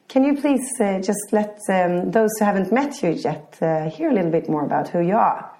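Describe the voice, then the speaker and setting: A woman's voice: medium pitch, lively intonation, normal speed, warm tone. A woman podcast host asking friendly interview questions with a few fillers.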